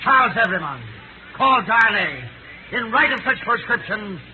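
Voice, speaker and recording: A fierce courtroom accuser declaims loudly in a raised, fairly high voice. It is vintage radio drama, narrow-band and noisy. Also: male voice, lively intonation, normal speed. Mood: fierce, accusing. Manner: loud, declamatory, relentless. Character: fierce male accuser crying out denunciations. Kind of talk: 1930s radio acting. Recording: tinny, noisy 1938 radio recording.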